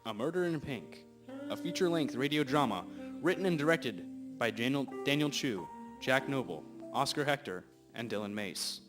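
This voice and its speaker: A man's voice: mid-range voice, expressive, normal speed, a bit bright. A man narrating a scripted comic radio drama in a mock-dramatic storytelling manner. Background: music.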